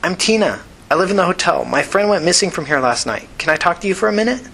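A male narrator puts on a scrappy young woman of the streets for an audiobook, pitched up and bright. The delivery is blunt, casual and questioning, over a faint background noise. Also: expressive, quick pace, vocal fry, exaggerated voice. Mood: pleading.

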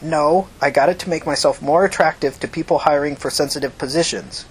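Man acting nervous male tech loner, evasive, defensive, husky, higher-pitched, audiobook dialogue. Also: brisk. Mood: guarded. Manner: guarded, hesitant, defensive. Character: evasive, nervous, bookish male computer whiz.

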